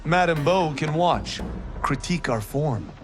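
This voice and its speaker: An actor playing a cocky, playful young martial-arts farmer in a video game, brash and teasing. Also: male voice, a little high, expressive, measured pace, music in the background. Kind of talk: video game cutscene acting.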